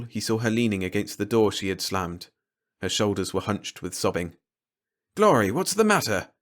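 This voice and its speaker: A man reading a short story aloud as a scripted audiobook narration. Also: lowish voice. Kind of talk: scripted story narration, bedtime read-along.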